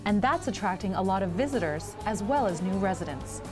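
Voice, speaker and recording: A woman's voice: medium pitch, animated, a little fast. Woman reporter narrating a travel segment. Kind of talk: scripted narration voice-over. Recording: music bed behind narration.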